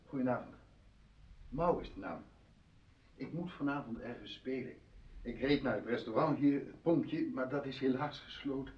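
An actor in a Dutch radio play, playing a courteous travelling accordion player with formal, florid manners. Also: male voice, mid-range voice, normal speed, long pauses, lightly acted. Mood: apologetic, polite. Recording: dull lo-fi radio play recording.

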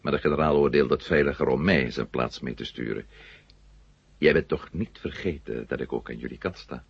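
An actor playing a secretive, controlled astronaut conspirator in a radio play, husky and gravelly, with a measured, authoritative manner. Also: male voice, a bass voice, dry recording, exaggerated voice. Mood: calm, assured. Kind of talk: 1970 Dutch radio play acting. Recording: dull, muffled old radio recording.

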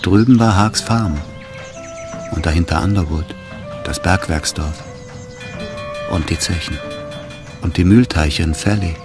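The narrator of a literary radio drama. His voice is very deep, calm and hushed, unfolding descriptions in a measured way. Music runs clearly underneath. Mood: sombre, measured. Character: calm literary narrator of a sensual love story.

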